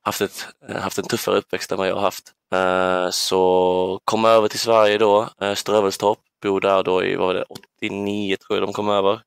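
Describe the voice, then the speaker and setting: A man's voice: sits low, flat delivery, creaky, hardly any pauses, smooth, no echo. A man in his twenties from southern Sweden, reminiscing casually as an interview guest in a hesitant, thoughtful way. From Ängelholm, southern Sweden. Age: 20s. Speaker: podcast guest, basketball player.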